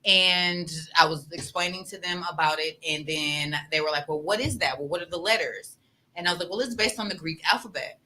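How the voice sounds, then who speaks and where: A woman and former teacher, guesting on a podcast, telling a story quickly and with animation. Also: lowish voice, pushed and bright, low-quality recording. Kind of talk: casual storytelling.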